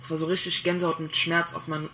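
A man's voice: fairly high pitch, monotone, quick pace, pushed and bright. A man giving a spontaneous personal testimony as an eyewitness, in a documentary recording.

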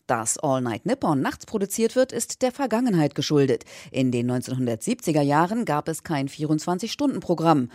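A radio reporter narrates a scripted feature. The voice sits at a medium pitch. The recording is very dry, with no room around it. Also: sing-song, brisk, hardly any pauses, darkish tone, no echo.

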